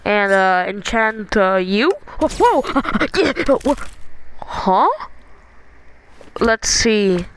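A woman doing an excitable, slangy amateur voice-over for animated shorts, in a noisy homemade recording. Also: medium pitch, very slow, long pauses. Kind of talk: animated fan dub, acted exclamations and casual chatter.

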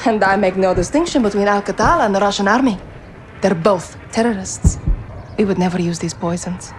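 An actress playing a resolute, guarded rebel commander with a Middle Eastern accent, speaking in firm, terse lines in a video game. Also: female voice, mid-range voice, fairly quick, a little hiss or hum, lightly acted. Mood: stern, guarded. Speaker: guerrilla commander. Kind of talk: cinematic video game acting. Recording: game score behind the voice.

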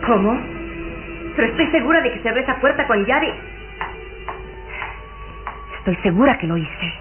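An actress playing a young duke's daughter, anxious and insistent, in a formal vintage radio drama style. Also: female voice, on the high side, animated, normal speed, close to an everyday voice. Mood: alarmed. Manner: formal, emphatic questioning. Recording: phone-like vintage radio recording, music behind.

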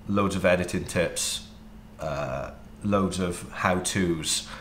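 A Welsh man in his thirties, a wedding videographer, answering interview questions in a relaxed way with frequent ums. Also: low voice, slow, echoey room, slight background noise. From Wales. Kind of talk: casual interview. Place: home studio office, indoors.

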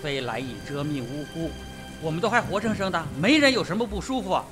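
A cool, cavalier colonel in a radio play, played by a man. His voice sits a little high, with a theatrical, sardonic assurance. Music runs underneath. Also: expressive, fast, close to an everyday voice. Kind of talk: radio drama acting.

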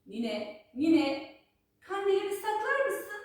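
A woman's voice: extremely high pitch, very animated, slow, pitched above the performer's own voice, exaggerated voice. A woman voicing a stubborn, demanding crow in a children's folk tale, insistent and repetitive. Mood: pleading. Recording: echoey room, distant microphone.

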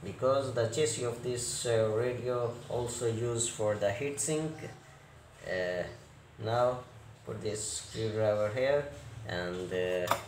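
Male repair technician, tutorial read: slow, halting non-native phrasing, echoey room with noise. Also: lowish voice. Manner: halting, fragmented instructional delivery with non-native English phrasing. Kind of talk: step-by-step hands-on repair tutorial. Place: indoor repair workbench. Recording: roomy echo, clear background noise.

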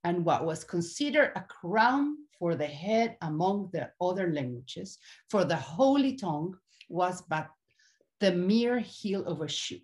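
A woman's voice: lowish voice, big pitch swings, measured pace, a little mellow. A middle-aged woman playing a proud, regal Wisdom figure in a grandiose theatrical monologue. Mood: proud, declamatory. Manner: grandiose, declamatory. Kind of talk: theatrical monologue from a translated Renaissance comedy.

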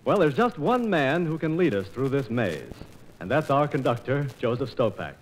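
A playful American man hosts a 1940s radio broadcast. His theatrical narration moves freely up and down in pitch. The old transcription disc adds faint noise. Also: big pitch swings, moderate pace, low-quality recording. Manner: playful, theatrical storyteller with rhetorical questions. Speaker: radio host narrating an operetta.

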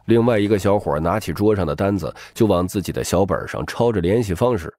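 A man's voice: low-pitched, expressive, brisk, a little mellow, no room sound. A man giving dramatic scripted narration of a crime novel as an audiobook narrator. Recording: music bed behind the voice.

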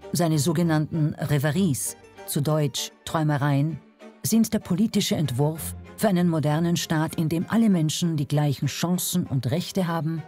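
A woman's voice: low-pitched, normal speed, dark tone, faint music behind. A woman reading scripted narration as a documentary narrator.

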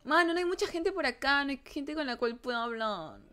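A woman's voice: sits high, lively intonation, fairly quick, a bit bright. A Peruvian woman in her twenties chatting casually and flirtatiously, with slangy, teasing talk. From Peru. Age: 20s. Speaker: streamer chatting with strangers on video chat.

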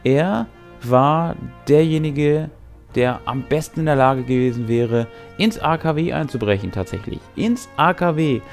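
A man's voice: on the high side, lively intonation, a little slow. A man in his thirties, a game master giving explanatory commentary on a tabletop game to camera. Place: small indoor room with brick wall. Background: music.

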